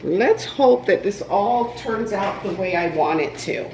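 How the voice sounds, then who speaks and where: A woman's voice: medium pitch, lively intonation, measured pace, darkish tone, slight background noise, poor audio. Congested woman rambling in her kitchen. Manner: rambling, with many ums and restarts. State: congested, nasal from a cold. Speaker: vlogger. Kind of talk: casual chatty cooking vlog. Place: home kitchen. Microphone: camera propped on the counter.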